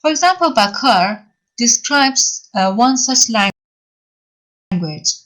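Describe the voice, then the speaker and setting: Woman lecturing with frequent pauses. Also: on the high side, big pitch swings, a bit bright, rough recording. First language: Persian. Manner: halting, many fillers, explains step by step. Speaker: university lecturer. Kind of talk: online lecture.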